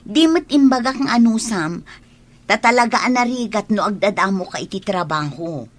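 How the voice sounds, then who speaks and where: An actress playing a gentle, patient, protective elder sister in an Ilocano radio drama, speaking in an emotive, coaxing way. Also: female voice, mid-range voice, expressive, moderate pace, natural voice. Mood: worried, pleading.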